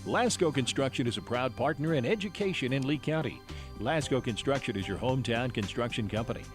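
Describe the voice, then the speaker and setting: A man's voice: mid-range voice, expressive, a little fast. A man reading a scripted local sponsor spot as a commercial announcer. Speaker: radio ad announcer. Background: faint guitar music.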